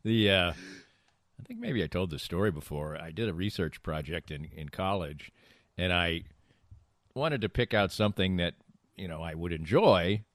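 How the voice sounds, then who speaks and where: A middle-aged man with a fairly low voice that swings widely in pitch as he talks. He rambles through stories like a podcast co-host at ease, stressing his points emphatically. The sound is dry and close. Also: animated, normal speed, dry recording. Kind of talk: casual banter and storytelling.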